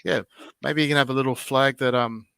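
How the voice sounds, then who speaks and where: A man's voice: mid-range voice, steady tone, a little fast, vocal fry, sharp tone, clean tone, dry recording, studio quality. An Australian man co-hosting a podcast, chatting bluntly with strong opinions and trailing fillers. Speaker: podcast co-host. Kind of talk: casual chat.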